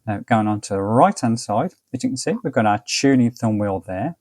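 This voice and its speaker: A man's voice: lowish voice, animated, normal speed, a little mellow, dry recording, studio quality. A man presenting a casual product review, explaining details in a methodical, matter-of-fact way. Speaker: product reviewer and channel host.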